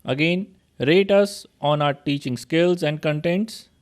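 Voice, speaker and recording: A young man with an Indian accent, narrating an online course tutorial and speaking straight to students in a steady explanatory way. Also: on the high side, measured pace. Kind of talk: screen-recorded tutorial narration.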